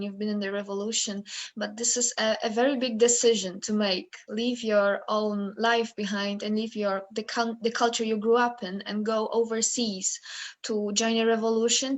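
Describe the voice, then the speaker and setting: A woman interviewing a guest, asking polite, halting questions with many fillers. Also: a little high.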